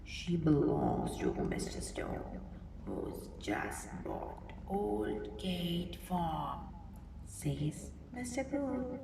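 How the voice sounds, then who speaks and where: A woman reading a picture book aloud to young children, expressively, pausing to think aloud and ask them simple questions. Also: fairly low pitch, wildly swooping pitch. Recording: roomy, distant mic, clear background noise.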